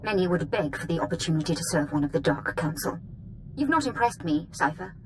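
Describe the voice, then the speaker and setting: An actress playing a haughty, threatening young dark lord in a video game, speaking theatrically. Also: female voice, on the low side, lively intonation, fairly quick, background noise, natural voice. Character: imperious, menacing young female dark lord, daughter of a dead master. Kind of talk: scripted video game acting.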